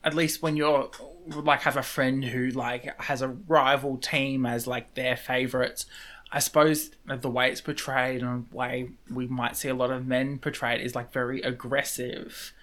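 A woman's voice: very deep voice, little pitch movement, unhurried, a bit bright. A woman interviewing a group of guests, asking rambling, encouraging questions full of fillers. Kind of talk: casual interview.

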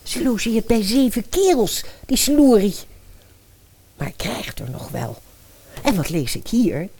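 A woman's voice: fairly high pitch, big pitch swings, slow pace, mellow, a little hiss or hum, heavily acted. An actress playing a spiteful, scheming stepmother in a comic fairy-tale audio drama, muttering and exclaiming to herself. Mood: indignant.

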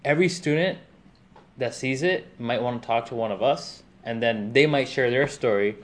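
A man's voice: medium pitch, normal speed. A man hosting a casual conversation, chatty and full of "like" and "right?".

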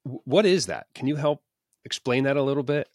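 A man's voice: medium pitch, brisk, darkish tone, dry recording, clean studio sound. A man hosting a podcast interview, asking curious questions with frequent halts and restarts. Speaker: podcast host.

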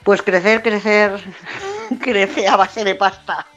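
A woman's voice: mid-range voice, very animated, drawn-out words, a bit bright, faint music behind. A woman from Madrid with a Madrid Spanish accent tinged with Valencian, chatting casually as a podcast guest, laughing often and poking fun at herself. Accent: Madrid Spanish, with some Valencian influence. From Madrid, Spain. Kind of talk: casual chat.

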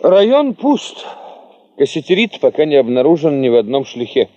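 An actor playing a principled, sceptical, cautious geologist who leads a field party in a Soviet radio drama, speaking formally and precisely. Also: male voice, on the high side, big pitch swings, normal speed, dark tone, highs cut off. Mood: dry, reporting.

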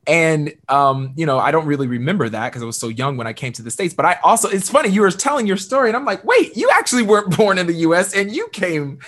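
A young man hosting an interview podcast, talking in an animated, upbeat way with many fillers. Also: a little high, fast, few pauses. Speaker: podcast host. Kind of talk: conversational interview.

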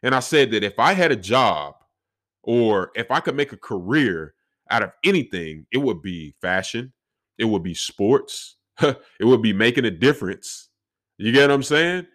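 A young man with a deep voice talking reflectively on his solo podcast, with faith woven into his talk. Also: expressive, moderate pace, fairly bright tone. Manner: reflective, faith-filled, conversational. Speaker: podcast host.

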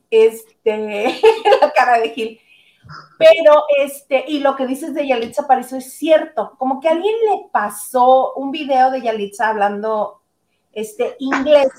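A woman's voice: a little high, echoey room. A woman guest chatting casually about celebrity gossip, rambling with fillers. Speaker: guest commentator.

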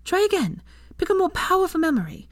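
A woman voicing an eager, bookish young witch, speaking high and gushing with encouragement. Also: huge pitch swings, a little fast, dry recording, exaggerated voice. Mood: encouraging.

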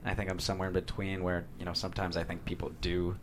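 A man's voice: low-pitched, completely flat delivery, racing, background noise. An American man, a writer being interviewed, explaining his thinking casually and thoughtfully with some hedging. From San Diego, USA.

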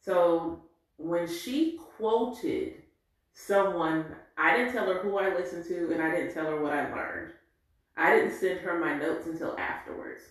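A woman in her forties telling a candid, reflective personal story in an animated way. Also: lowish voice, unhurried. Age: 40s. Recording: very echoey room.

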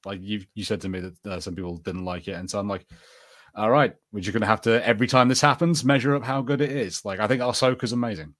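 Lowish-voiced male podcast co-host. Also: fast, a bit bright. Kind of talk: casual chat, fan discussion.

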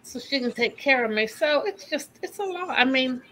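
Bright-voiced chatty woman. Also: high-pitched, animated, moderate pace, a little hiss or hum. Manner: chatty, rambling, says "honey". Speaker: psychic host. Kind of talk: casual live chat.

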